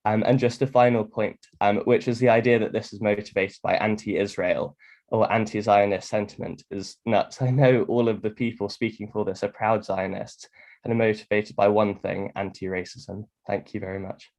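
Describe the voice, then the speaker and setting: A man's voice: fairly low pitch, fairly even intonation, normal speed, darkish tone. A man giving a prepared speech to a meeting as a deputy, earnest and persuasive with some hesitancy. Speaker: community deputy speaking in a debate.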